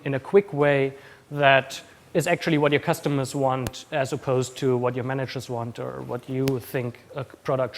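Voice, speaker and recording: A man from Austria whose accent blends Austrian German and New Zealand, giving a casual conference talk with many ums and restarts. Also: on the high side. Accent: mixed Austrian German and New Zealand. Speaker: conference speaker. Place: conference room.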